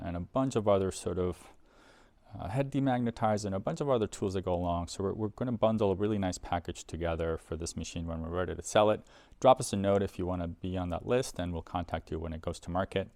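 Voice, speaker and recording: A man's voice: sits low, fast, a little mellow, no room sound. A man giving a casual, explanatory product demonstration in an informal way, as a presenter for an equipment seller.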